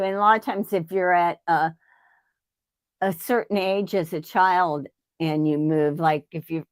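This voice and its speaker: A woman's voice: lowish voice, smooth, no room sound. A middle-aged woman from Ohio, a guest in a casual chat. She talks in a folksy, chatty way. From Ohio, United States. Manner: folksy, chatty, laughs easily.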